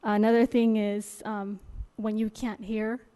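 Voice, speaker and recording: Flat, mellow-voiced woman at council. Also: medium pitch, measured pace, smooth. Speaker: city council member. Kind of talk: public statement at a meeting. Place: council chamber.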